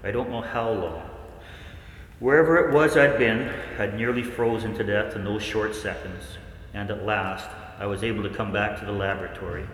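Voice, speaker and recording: Guy playing haunted 1940s scientist telling his horror story, low voice, echoey w some hiss. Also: fairly even intonation, a bit bright, close to an everyday voice. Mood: haunted, brooding. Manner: dramatic, deliberate storytelling. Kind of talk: 1940s radio play monologue. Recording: echoey hall, long reverb, faint noise.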